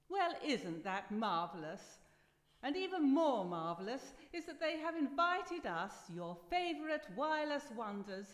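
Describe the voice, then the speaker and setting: An effusive vintage wireless hostess acted by a woman speaks in a high, swooping voice. Her delivery is grand, arch and genteel, every phrase formally presented. It rings through a reverberant hall. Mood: delighted. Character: effusive 1930s-style wireless announcer hostess, theatrical and genteel. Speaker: radio show host. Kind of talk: old-time radio presenting before live drama. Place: live performance in a university hall. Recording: echoey hall with long reverb.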